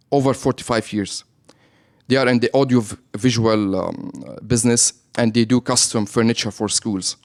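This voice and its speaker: A man's voice: mid-range voice, a little mellow. A man with a Lebanese accent, a business owner talking as a guest in a radio interview, who often checks in with "right?". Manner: explains with frequent "right?" tags.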